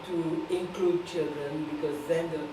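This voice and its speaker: Lowish-voiced woman, echoey room. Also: fairly even intonation, measured pace, recorded from a distance. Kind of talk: announcement and remarks to a gathering. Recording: echoey, noisy room from afar.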